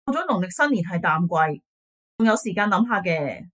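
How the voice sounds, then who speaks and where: A woman's voice: lowish voice, animated, normal speed, fairly bright tone. A woman acting a dismissive, weary office boss in a radio drama, with a Hong Kong Cantonese accent. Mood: brusque, placating.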